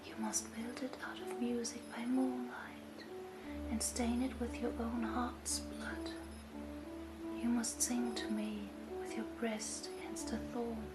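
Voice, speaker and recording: A woman voicing a grave, sorrowful rose tree in a fairy tale, measured and half-whispered. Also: fairly high pitch, little pitch movement, reverberant, over loud music. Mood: grave, foreboding. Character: slow, solemn, sorrowful old rose tree.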